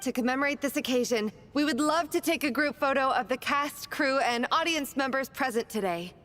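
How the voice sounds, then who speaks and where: A woman's voice: high-pitched, brisk, fairly bright tone, in a large hall. A woman playing a gracious, formal stage presenter in a video game, announcing courteously.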